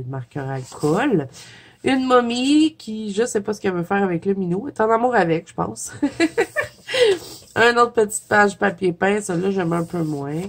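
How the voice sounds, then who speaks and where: A woman with a Québécois French accent, stuffy from a cold, chatting casually as a coloring vlogger, filling pauses with "euh" and soft giggles. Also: fairly low pitch. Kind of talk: casual chat flipping through a coloring book.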